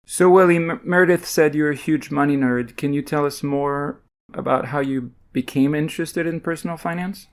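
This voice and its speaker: A man hosting a podcast interview, asking questions in a relaxed, lightly teasing way. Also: fairly high pitch, normal speed. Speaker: podcast host.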